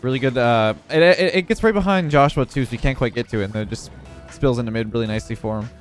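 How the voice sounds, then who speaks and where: A young man with a congested voice giving relaxed running commentary on his own video game match. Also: mid-range voice, quick pace, soft background music. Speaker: gaming video creator. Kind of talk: live gameplay commentary.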